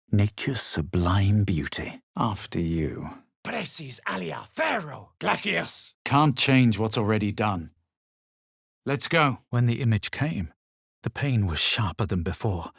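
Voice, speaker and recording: A self-assured, superior spellcasting adventurer from a fantasy video game. The voice is low and husky, with an animated melody under formal, measured diction. It is recorded very dry and close to a game script's polish. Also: male voice, dry recording, heavily acted. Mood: commanding, incanting. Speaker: video game player character.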